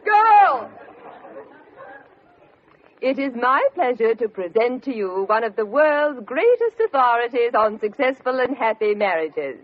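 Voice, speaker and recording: A woman's voice: a little high, big pitch swings, a little slow, some chatter behind. A woman playing a fluttery, genteel club chairwoman making formal announcements in a vintage radio comedy. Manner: theatrical, pleading, formal. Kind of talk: 1940s-50s radio comedy acting. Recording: muffled old radio recording, noisy audience.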